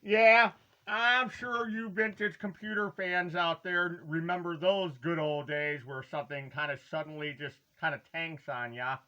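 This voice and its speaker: A man presenting a retro collectible in casual, rambling, halting chat, with a playful, hammy, folksy delivery and drawn-out words. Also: fairly high pitch, fairly even intonation, bright, forceful tone, dull audio.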